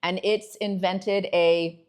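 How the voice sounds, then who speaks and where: Female podcast host, lower register, upbeat and encouraging. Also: fairly even intonation, takes it slowly, clear, resonant tone. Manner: upbeat, encouraging, frequent affirmations. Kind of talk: podcast interview.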